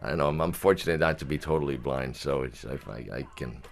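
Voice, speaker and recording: Male, deep low register, unpolished and hesitant, speaking in an everyday conversational way. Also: quick pace. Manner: hesitant, stammering restarts. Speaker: helper to a blind man. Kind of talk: casual chat.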